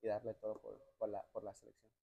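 A young man from the United States, a footballer answering interview questions in a hotel lounge, halting and restarting often and slipping English words into his replies. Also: fairly low pitch, monotone, vocal fry, warm tone, dull audio. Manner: halting, many restarts and "me entiendes" tags, mixes in English words. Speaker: national team footballer, interview guest. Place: hotel lounge sofa, indoors.

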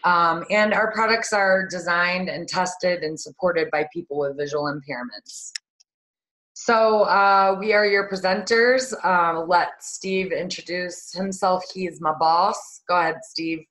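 A woman with a fairly low voice hosts a product webinar over a video call. She talks in a chatty, easygoing way, with many ums and uhs along the way. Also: measured pace, fairly bright tone, low-quality recording. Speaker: marketing manager presenting a webinar. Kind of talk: casual webinar presentation.

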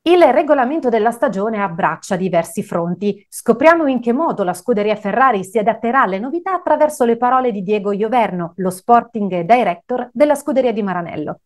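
A woman's voice: on the high side, lively intonation, a little fast, hardly any pauses. Composed woman presenting news links. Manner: clear, composed link reading. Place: indoor studio with framed pictures. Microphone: lapel mic.

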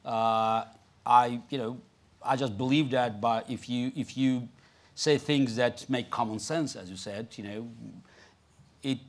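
A Russian-accented man in his fifties speaks as the guest in an onstage conversation. His talk halts and restarts, full of "you know", at a middling pitch. He sits on a big theatre stage before an audience. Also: steady tone. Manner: halting, with many restarts and "you know" fillers. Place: large theatre stage, indoors.

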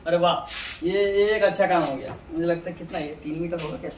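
A man in his thirties gives a casual, hands-on product demonstration. His voice sits a little high and he often repeats himself as he works. He is picked up from some distance on an echoey porch, with clear background noise. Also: fast. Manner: informal, hands-on, repeating himself while handling items. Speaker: product reviewer. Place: outdoor porch by house steps. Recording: roomy, distant mic, clearly noisy, clicks.

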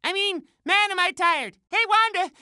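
A man voicing a dim, childlike male cartoon fairy for a video game, nasal and squeaky, with a goofy, scatterbrained manner. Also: moderate pace, pushed and bright, no echo, studio quality, heavily acted. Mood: goofy, excited.

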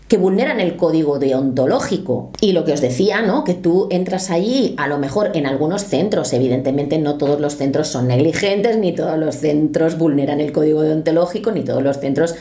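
A woman's voice: deep voice, expressive, a little fast, few pauses, mellow. A woman, talking earnestly to camera from a small home studio and reading quotes aloud. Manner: earnest, explanatory, gestures with her hands. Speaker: youtuber commentator.

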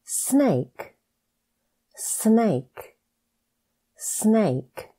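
A woman English teacher reading scripted pronunciation practice with deliberate, careful enunciation. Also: medium pitch, sing-song, warm tone, breathy edge. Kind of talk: scripted lesson narration, reading words for practice.

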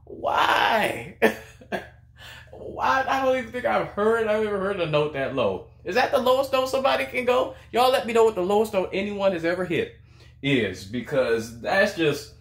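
A man's voice: high voice, big pitch swings, moderate pace, vocal fry, a bit bright. A young man giving casual, amazed reaction commentary as a video host, repeating words for emphasis, recorded indoors a little way from the camera. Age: young adult. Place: small room indoors, beside a TV. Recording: roomy, echoey indoor sound.

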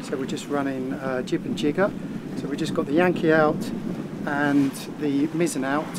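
A man's voice: a little high, fairly even intonation, moderate pace. Chatty man filming on a sailboat. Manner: chatty, rambling with fillers. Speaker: sailing vlogger. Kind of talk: casual vlog narration. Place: deck of a sailboat at sea, outdoors. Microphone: phone held at arm's length. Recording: wind and water noise outdoors. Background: wind, waves and boat noise.

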